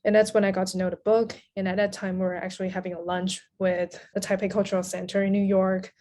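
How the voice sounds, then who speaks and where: A woman from Taiwan with a medium-pitched voice speaks as a podcast guest. She is a native Mandarin speaker, chatting casually in an interview. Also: flat delivery, fast talker, dark tone. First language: Mandarin Chinese.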